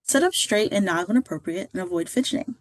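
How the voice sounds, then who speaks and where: A woman presents scripted career guidance in a mid-pitched voice. Her delivery is measured and instructive, like narration for a training slideshow. The recording is close and dry, with very little room sound. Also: expressive, no echo.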